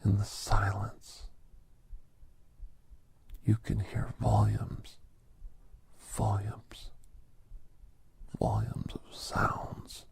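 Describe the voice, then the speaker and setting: A man playing a gentle, reflective doctor, telling a holiday story as ASMR in a breathy, hushed, gravelly whisper and short halting phrases. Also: fairly low pitch, big pitch swings, exaggerated voice. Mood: reverent, calm.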